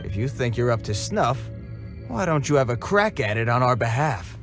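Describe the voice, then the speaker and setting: An actor playing a gruff, cocky bandit leader in a video game cutscene, tough-talking and sneering with slangy speech. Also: male voice, medium pitch, very animated, normal speed, music in the background. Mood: taunting.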